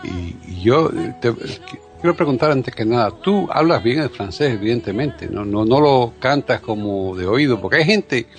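A man's voice: mid-range voice, expressive, normal speed, soft background music. An older man hosting a radio show, chatting and rambling with repeated words as he tells a story. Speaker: radio host. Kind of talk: casual radio interview.

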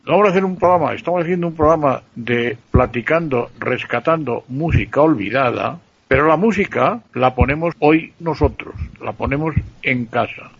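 Central Spanish radio co-host, male, gravelly and husky, teasing, dull lo-fi sound. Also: a little high, animated, moderate pace, hardly any pauses, dry recording. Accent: Spanish, central Spain. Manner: playful, teasing, explains at length. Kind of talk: casual radio chat.

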